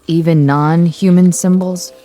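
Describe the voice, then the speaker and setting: A low, mellow-voiced woman acting as a curious researcher in a radio drama. She sounds conversational, inquisitive and a bit skeptical, with faint music behind her. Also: fairly even intonation, unhurried, lightly acted. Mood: curious, wondering. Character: curious, skeptical female member of a research team.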